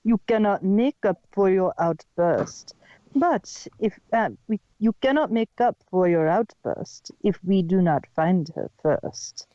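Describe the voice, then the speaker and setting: An earnest zebra healer, acted by a woman, speaks in a mellow, soft-toned voice with formal, measured phrasing. She sounds wise and concerned, a cartoon-style audio story character on a dry, dull recording. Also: medium pitch, animated, no room sound. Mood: concerned, urging.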